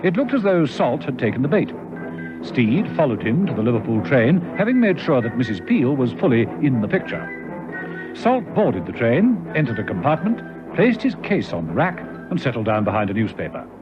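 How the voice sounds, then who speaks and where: A man's voice: mid-range voice, sing-song, moderate pace. A man narrating a vintage radio serial in a dramatic, scripted style. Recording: dull lo-fi old radio recording. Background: music.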